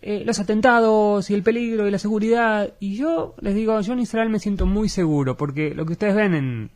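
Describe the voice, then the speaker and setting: A man with an Argentine Spanish accent, an educator answering in a radio interview, earnest and measured with frequent fillers. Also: high-pitched, a little mellow.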